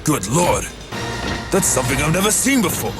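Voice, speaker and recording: A man playing a plain-spoken, excitable foreman of a work crew in an audio drama. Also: a little high, normal speed, loud music behind the voice. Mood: horrified. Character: working-man foreman, dutiful, easily horrified.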